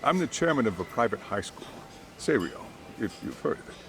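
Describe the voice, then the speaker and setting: A man's voice: lowish voice, big pitch swings, a little fast, heavy background noise. An actor playing a polite private school chairman in a video game, introducing himself formally. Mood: courteous.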